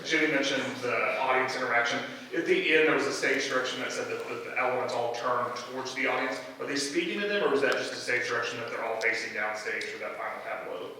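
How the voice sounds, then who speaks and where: A man's voice: mid-range voice, fast talker, few pauses, bright, forceful tone. A man giving casual, unscripted feedback as an audience member in a discussion. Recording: echoey room, distant mic, background noise.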